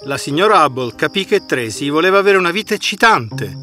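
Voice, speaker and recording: A man narrating a scripted fairy tale in an expressive storytelling manner. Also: fairly high pitch, a little fast. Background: background music.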